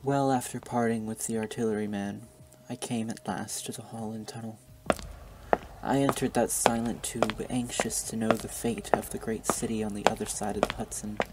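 A man playing a sober, learned survivor in a radio play, wary and reflective, speaking in measured, formal words. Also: on the low side, steady tone, very noisy, natural voice. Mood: somber recounting. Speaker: actor and narrator in a radio play.

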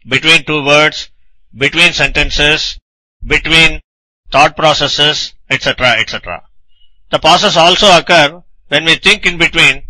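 A man with a very bright, sharp voice pitched a little high delivers a technical lecture. He explains in a didactic, instructional way, setting out questions and answering them. The sound is dry and close. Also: dry recording, low-quality recording. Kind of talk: scripted technical lecture narration.

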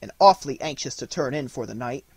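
A man's voice: medium pitch, lively intonation, fast talker, gravelly, slightly rough, no room sound. A boastful young boy from a storybook, read by a man, playing the little commander. He talks assertively, with swagger.

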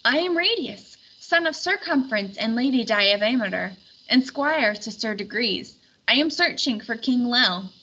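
A woman's voice: fairly high pitch, lively intonation, unhurried, pushed and bright, slightly rough, muffled recording, voice pushed up, exaggerated voice. A woman voicing an earnest, anxious young male squire in a storybook read-aloud.

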